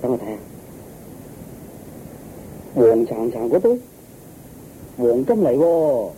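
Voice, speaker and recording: Casting: deferential Buddhist monk, polite and questioning, Hong Kong Cantonese, dark dull radio drama sound, slight hiss. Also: male voice, medium pitch, animated, slow. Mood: polite, curious. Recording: muffled radio recording, faint noise.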